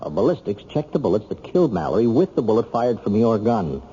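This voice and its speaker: A man's voice: lowish voice, sing-song, fairly quick, dark tone. An actor playing a sober, practical city councilman in his thirties, questioning his brother insistently in an old-time radio drama. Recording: dull, lightly noisy old radio recording.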